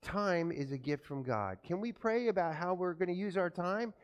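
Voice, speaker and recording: A pastor giving a children's sermon in a church, telling an animated story and asking the children questions. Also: male voice, sits high, normal speed, clean tone, muffled recording. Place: church sanctuary. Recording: echoey church from far off.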